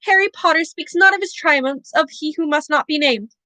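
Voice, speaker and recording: A woman voicing a small, earnest, servile male house elf with a high-pitched, tearful, overeager voice. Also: normal speed, pushed and bright, dry recording.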